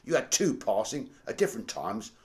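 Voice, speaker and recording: A man's voice: on the low side, huge pitch swings, moderate pace, a little mellow. A man playing a plain-spoken, deferential Victorian manservant telling things colloquially in a radio drama. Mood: animated recounting. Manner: colloquial storytelling.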